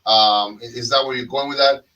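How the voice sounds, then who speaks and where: A man's voice: mid-range voice, fairly even intonation, normal speed, sharp tone, distant mic, dull audio, a little hiss or hum. Rambling man hosting a casual chat. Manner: rambling, many fillers and restarts. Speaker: podcast host.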